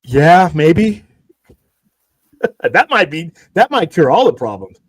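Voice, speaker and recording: A man's voice: on the high side, big pitch swings, slow pace, breathy edge, no echo. A man co-hosting a live stream, ribbing his partner in incredulous, joking banter. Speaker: co-host. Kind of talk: casual live stream chat.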